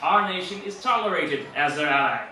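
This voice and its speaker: A solemn wartime president, played by a man delivers a lofty radio address in formal, measured oratory. The voice is forceful and very bright, set far back in a heavily echoing space, like an old broadcast. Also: a little high, lively intonation. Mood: lofty, persuasive. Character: President Roosevelt, solemn, lofty male statesman giving fireside chat. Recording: echoey old-radio sound, distant.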